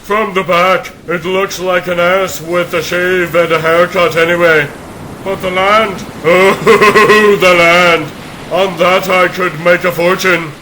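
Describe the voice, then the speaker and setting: A man's voice: sits high, steady tone, takes it slowly, sharp tone, full character voice, low-quality recording. A man voicing a greedy, sneering villain who gloats to himself in a comic dub, with faint noise. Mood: gloating. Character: greedy, scheming, sneering male cartoon developer villain. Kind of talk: comic book dub acting.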